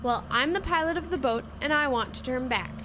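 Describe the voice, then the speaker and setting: A woman's voice: high-pitched, brisk, background noise, close to an everyday voice. A young female actor playing a worried, exasperated and responsible girl who pilots a boat in an audio drama. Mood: exasperated, upset.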